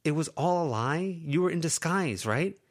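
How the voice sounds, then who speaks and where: A man's voice: fairly high pitch, expressive, moderate pace, pitched above the performer's own voice, close to an everyday voice. A man voicing an exasperated teenage girl who scolds her friends with tense, pleading frustration. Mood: frustrated scolding.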